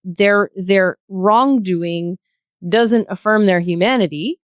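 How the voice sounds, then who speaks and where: A woman's voice: mid-range voice, takes it slowly, dry recording, clean studio sound. A woman in a reflective podcast conversation, explaining her views in a thoughtful, earnest way. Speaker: podcast guest.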